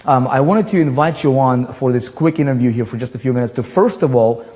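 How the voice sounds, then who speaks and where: Male host, mid-pitched, rambling and eager, reverberant room sound. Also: brisk. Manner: excited, rambling, with many fillers and restarts. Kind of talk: livestream chat and interview intro.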